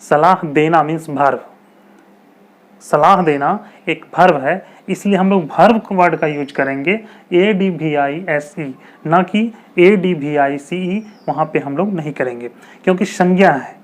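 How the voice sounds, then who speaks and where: Man teaching English grammar patiently. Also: fairly high pitch. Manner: explains patiently, repeats example sentences slowly; rhetorical questions, chatty teacherly asides. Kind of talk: explanatory video lesson.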